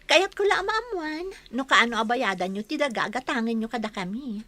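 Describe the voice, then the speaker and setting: Bright, insistent young woman. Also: a little high, lively intonation, normal speed, no echo. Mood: coaxing, pushy. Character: insistent, coaxing young woman pressing a man. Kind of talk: Ilocano radio drama acting.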